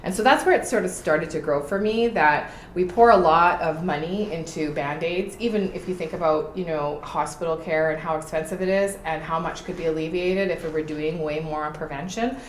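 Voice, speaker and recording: A woman's voice: low voice, brisk, few pauses. A middle-aged woman, a political candidate, giving passionate, emphatic interview answers. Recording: echoey room with faint noise.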